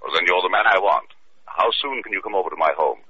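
An actor playing a formal, urgent art collector in an old radio drama, polite and insistent. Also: male voice, sits low, lively intonation, fast talker, sharp tone, breathy edge, exaggerated voice. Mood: urgent, guarded. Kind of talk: 1940s radio detective drama acting. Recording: muffled, noisy old radio broadcast.

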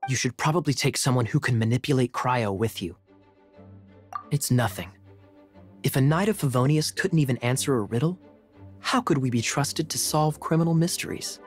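An actor playing a suave, teasing young knight in video game dialogue, with a smooth, playful, deliberate manner. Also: male voice, mid-range voice, close to an everyday voice. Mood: musing, assured. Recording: faint music behind the voice.

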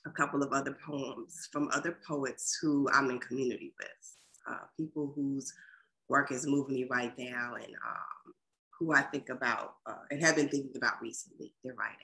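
A middle-aged woman poet reading poems and introducing them casually on a video call, in a measured, deliberate way. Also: very deep voice, fairly even intonation, gravelly, a bit bright, low-quality recording. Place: small furnished room at home, indoors. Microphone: video call computer microphone.

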